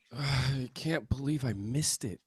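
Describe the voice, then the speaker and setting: A man's voice: mid-range voice, slow pace, slightly rough, no room sound. Man playing a dejected, self-pitying stoner dude, dry sound. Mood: dejected, frustrated. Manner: self-pitying slacker grumbling. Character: dejected stoner man, veteran UFO hunter. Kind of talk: comedy script table read.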